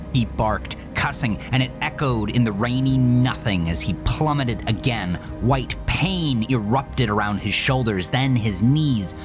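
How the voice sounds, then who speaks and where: Man, horror storyteller, very deep voice, dramatic and hushed, faint music behind. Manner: intense, dramatic delivery dropping into whispers. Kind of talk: scripted horror narration.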